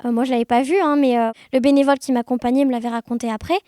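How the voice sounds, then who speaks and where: High-voiced woman telling casual stories. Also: steady tone, very fast, mellow, no room sound, clean studio sound. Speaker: podcast guest, blind guide dog user sharing experiences. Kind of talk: casual, conversational storytelling.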